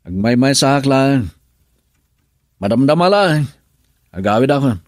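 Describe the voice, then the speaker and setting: An Ilocano actor playing a brooding, regretful husband who drinks in a radio drama, with a gravelly, husky voice. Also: male voice, mid-range voice, lively intonation, unhurried, lightly acted. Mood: irritated, defensive. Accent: Filipino, Ilocano. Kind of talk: Ilocano radio drama acting with inner monologue.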